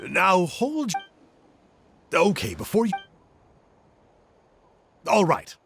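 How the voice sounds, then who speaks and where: Lively, talkative young woman. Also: lowish voice, slow pace, vocal fry, heavy background noise, exaggerated voice. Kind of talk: video game voice acting.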